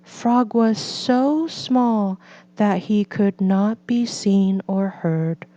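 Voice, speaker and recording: Very slow, mellow woman reading a storybook. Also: medium pitch. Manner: measured, deliberate delivery for learners. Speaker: storybook reader. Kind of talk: slow scripted children's read-aloud narration.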